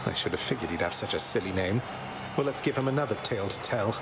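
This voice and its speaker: An actor playing a wry young underworld prince in a video game, breezy and teasing as a brother. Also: male voice, fairly low pitch, animated, fast, noisy background. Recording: loud music and ambience behind.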